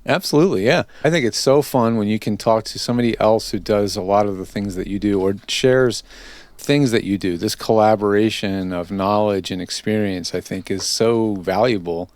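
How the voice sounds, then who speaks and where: A man's voice: lowish voice, moderate pace, a little mellow, no echo. A man hosting an interview in a conversational, encouraging way. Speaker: podcast interview host.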